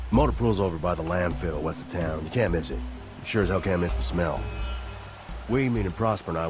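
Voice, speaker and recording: A man's voice: low voice, close to an everyday voice. A man playing a blunt, cynical militia commander in a video game, casual and sardonic in manner. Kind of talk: video game cutscene acting.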